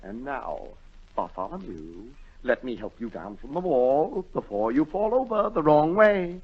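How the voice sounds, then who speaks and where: A male actor playing a vain, excitable storybook king in a 1940s radio drama, theatrical and imperious. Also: mid-range voice, a little slow, slightly rough. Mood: coaxing. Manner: theatrical, imperious, swinging from hushed awe to outbursts. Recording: dull, lo-fi 1940 radio broadcast.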